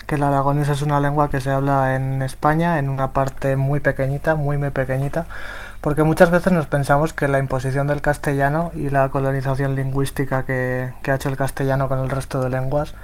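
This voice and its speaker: Guy from spain talking on a video call, pretty monotone, lots of ums and restarts. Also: mid-range voice, fairly quick. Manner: flat, hesitant, many restarts. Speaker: volunteer software translator. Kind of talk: remote panel talk.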